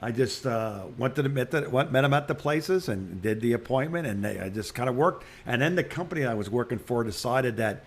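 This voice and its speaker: A man's voice: mid-range voice, animated, a little fast, hardly any pauses. A man in his sixties chatting casually as a podcast co-host, telling anecdotes in a rambling way with repeated phrases and restarts. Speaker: podcast co-host, retired radio broadcaster.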